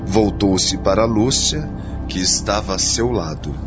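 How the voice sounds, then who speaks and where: A man's voice: fairly low pitch, unhurried, music in the background. A man delivering scripted narration as the storyteller of a radio soap. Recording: muffled lo-fi radio drama.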